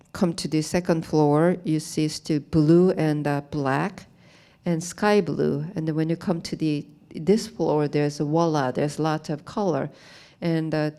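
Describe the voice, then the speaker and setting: Woman artist explaining her work in a gallery, halting english, low-ish voice, lots of "you know". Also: dark tone, clear, resonant tone. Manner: halting, plain non-native English with many "you know" fillers. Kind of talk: gallery talk about her art. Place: gallery, speaking to an audience.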